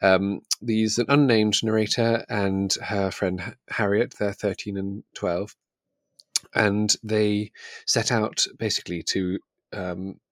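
A man with a fairly low voice co-hosts a casual book podcast. He talks informally, feeling his way through sentences, and the sound is close and dry. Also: fairly even intonation, slow pace, dry recording. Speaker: podcast co-host.

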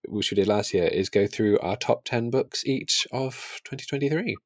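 A man's voice: mid-range voice, moderate pace, no room sound, studio quality. A man co-hosting a podcast in a casual book discussion, thoughtful and a little hesitant as he qualifies his opinions.

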